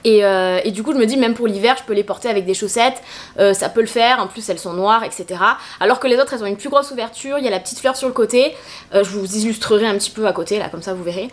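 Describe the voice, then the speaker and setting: Chatty woman vlogger with a cold-stuffed voice, quick delivery, rambling with hesitations. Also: mid-range voice. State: congested with a cold. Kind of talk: casual shopping haul chat.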